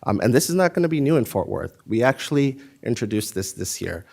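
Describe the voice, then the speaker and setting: A man's voice: medium pitch, very animated, brisk, gravelly, a little mellow. A man, a school district administrator, explaining instructional plans to a board meeting in an emphatic, explanatory way. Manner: explanatory, emphatic, insists on finishing.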